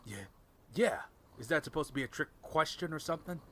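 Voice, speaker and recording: A man playing a bitter, desperate young man on the run in an audio drama, talking roughly and swearing. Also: fairly high pitch, lively intonation, brisk, fairly bright tone, a little hiss or hum. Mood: defiant, wary. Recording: music behind the voice.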